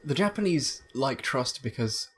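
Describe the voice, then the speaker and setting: A woman's voice: very deep voice, animated, unhurried. A woman giving casual co-commentary over video game cutscenes in a Let's Play. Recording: faint noise, game audio behind.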